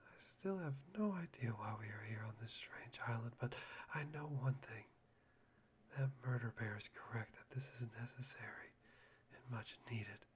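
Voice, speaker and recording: A man voicing a polite, brooding young man in a soft, halting, breathy whisper for an ASMR roleplay. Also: mid-range voice, noisy background, natural voice. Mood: reverent, musing.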